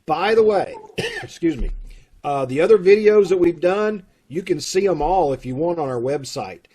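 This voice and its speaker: A man's voice: high-pitched, sing-song, moderate pace, mellow, husky. A man with a Kentucky accent, leading a theater troupe and making casual, rambling announcements over a video call. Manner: folksy, rambling, with fillers.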